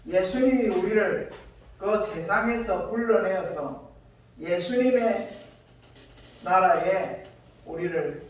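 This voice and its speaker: A male pastor with a high-pitched voice delivers a sermon in deliberate, short phrases. The sound is very echoey, as in a church, picked up at a distance from the microphone. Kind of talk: scripture reading and sermon. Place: church hall. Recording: echoey church, distant mic, faint noise.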